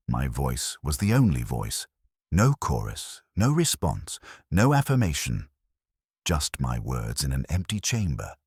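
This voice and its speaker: Very deep-voiced man narrating a dark story. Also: dry recording, clean studio sound. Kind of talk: scripted dramatic first-person story narration.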